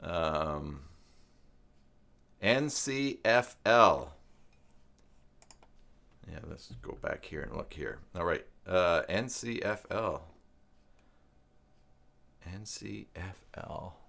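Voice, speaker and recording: Canadian male live stream host, lowish gravelly voice, informal tutorial chat with musing, stop-and-start delivery. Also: lively intonation, fairly bright tone. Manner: halting, musing, reads aloud and mutters to himself. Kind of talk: casual live tutorial chat, thinking aloud.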